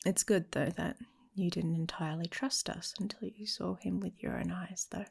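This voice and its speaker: A woman voicing a protective, teasing werewolf mate in an intimate ASMR romance roleplay, speaking softly and casually, often slipping into a whisper. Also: on the low side, fairly even intonation, moderate pace, gravelly, breathy edge, heavily acted. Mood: dry, reassuring. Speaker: audio roleplay performer.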